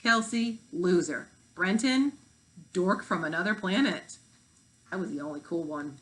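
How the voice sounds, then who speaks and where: A woman's voice: fairly low pitch, animated, measured pace, rough recording. A cocky fifth-grade boy acted out by a woman talks bluntly and with punchy emphasis. He is a slangy storybook rebel who thinks himself the cool one. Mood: dismissive, then smug.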